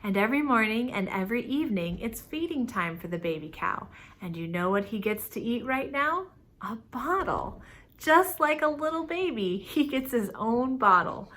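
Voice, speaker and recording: A woman's voice: fairly high pitch, sing-song, moderate pace, fairly bright tone. A woman hosting a storytime, speaking simply and expressively to young children.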